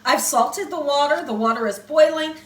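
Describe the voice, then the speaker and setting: Home cook host, woman, high bright voice, slightly hoarse, chatty, echoey kitchen with the mic set back. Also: moderate pace, far from the mic. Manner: chatty, encouraging, talks straight to viewers. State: slightly hoarse, losing her voice. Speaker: cooking show host. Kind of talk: casual home cooking tutorial.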